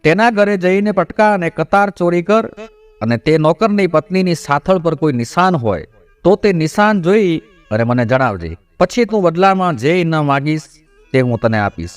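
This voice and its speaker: A man's voice: a little high, expressive, normal speed, dry recording, natural voice. Man voicing a scheming, demanding royal minister.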